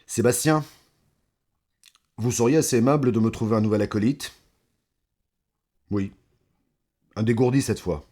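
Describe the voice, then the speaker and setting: An actor playing an older corrupt mayor and crime boss in an audio drama, measured, sardonic and condescending, with menace under false courtesy. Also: male voice, medium pitch, dark tone, close to an everyday voice. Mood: polite, businesslike.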